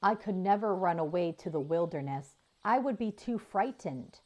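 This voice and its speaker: A woman's voice: lowish voice, animated, normal speed, darkish tone, muffled recording. A woman voicing a curious young female calf in a children's story, earnest and sweet. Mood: timid. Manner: earnest, inquisitive.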